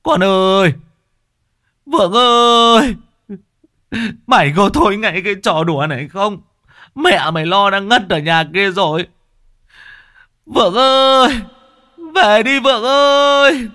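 A man's voice: sits high, slow, long pauses, sharp tone, dry recording, clean studio sound, voice pushed up, heavily acted. A man voicing a middle-aged village father who cries out in despair for his missing son. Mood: wailing grief. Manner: stammering, wailing. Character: grieving middle-aged father, panicked and wailing.

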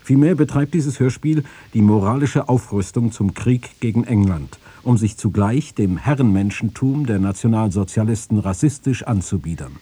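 A man's voice: lowish voice, normal speed, few pauses, dark tone, husky, no echo. A man reading written quotations in a scripted radio feature. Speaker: reader of press quotations. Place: dry radio studio.

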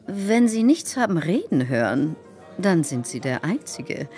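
Low-voiced, scheming society hostess. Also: female voice, very animated, unhurried, warm tone, soft background music. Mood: gossipy, scornful. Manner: insistent, theatrical, mock-concerned. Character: domineering, scheming society hostess manipulating a young musician. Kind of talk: German radio play acting.

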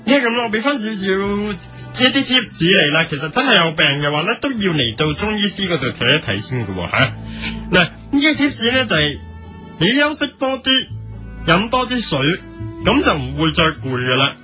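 A man acts a folksy Chinese medicine doctor in a spoof health segment. He instructs in drawn-out Hong Kong Cantonese, with a high, swooping delivery over background music. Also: big pitch swings, pushed and bright, exaggerated voice. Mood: advising. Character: folksy traditional Chinese doctor handing out simple tips.

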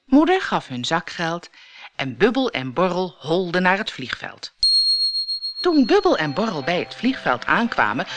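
A woman narrates a children's story from a script. Her voice sits a little low and moves with lively, expressive intonation.

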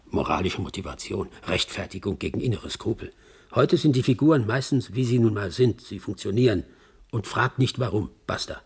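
An actor playing a wry, reflective man in a radio drama, musing casually in a husky, gravelly voice. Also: lowish voice, exaggerated voice. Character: wry, reflective male acquaintance reminiscing about an actor. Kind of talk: radio drama monologue in interview manner.

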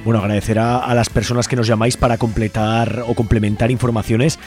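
A man's voice: mid-range voice, level tone, quick pace, a little mellow, faint music behind. A man with a Spain Spanish accent hosts an informal community radio show, talking conversationally and checking in with listeners. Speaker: radio host.

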